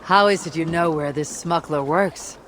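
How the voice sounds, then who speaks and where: A woman playing a bold Norse warrior and raider in a video game, speaking in a measured, blunt, grave way. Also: sits low, noisy background, lightly acted. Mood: firm. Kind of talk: video game cutscene acting.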